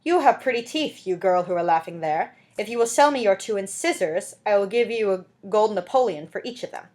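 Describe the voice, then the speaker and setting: A woman voicing a brash, shrill male juggler-dentist hawker with wheedling, declaiming showman's patter in a storybook reading. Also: lively intonation, a little fast, darkish tone, lightly acted.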